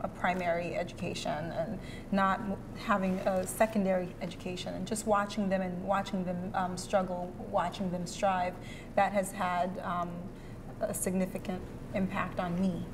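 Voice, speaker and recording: A woman's voice: on the low side, little pitch movement, normal speed, background noise. A woman from Haiti, a poet and educator, answering interview questions earnestly with hesitant fillers.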